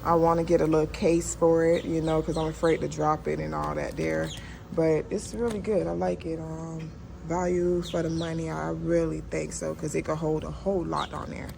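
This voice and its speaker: A woman's voice: low-pitched, little pitch movement, moderate pace, gravelly, noisy background. A woman giving a casual, folksy hands-on product demo. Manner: folksy, informal. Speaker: product reviewer.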